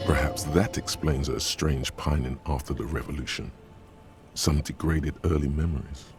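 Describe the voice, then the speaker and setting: Extremely deep, brooding male inner voice. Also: steady tone, over music. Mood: brooding, observant. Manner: solemn, deliberate, terse. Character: brooding, cryptic male inner voice narrating a detective's thoughts. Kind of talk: video game narration.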